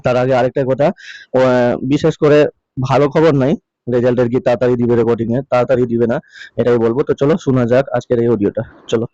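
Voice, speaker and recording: A man's voice: medium pitch, fairly even intonation, fast talker, warm tone, no echo. A man hosting a casual vlog update, talking in a repetitive, pleading way. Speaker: video host. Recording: dull, lo-fi close dry sound.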